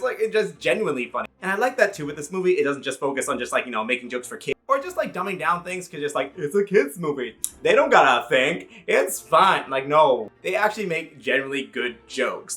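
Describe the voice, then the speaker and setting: A man in his thirties giving a casual, comedic movie review, rambling excitedly with swearing and jokey asides. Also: fairly high pitch. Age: 30s.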